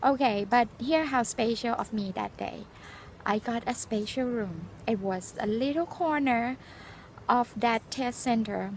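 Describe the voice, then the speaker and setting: Forties Thai woman with a Thai accent, English her second language; high, earnest, halting vlog delivery. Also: slight background noise. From Thailand. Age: 40s. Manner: earnest, halting, frequent fillers and restarts. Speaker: nurse sharing exam experience. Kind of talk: casual personal vlog, storytelling and advice.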